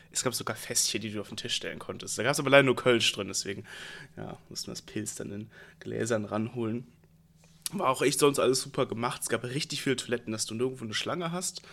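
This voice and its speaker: A young man with a gravelly voice and a stuffy, congested nose from a cold. He rambles through a story in relaxed, slangy casual chat, hosting a podcast with a friend. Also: medium pitch. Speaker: podcast host.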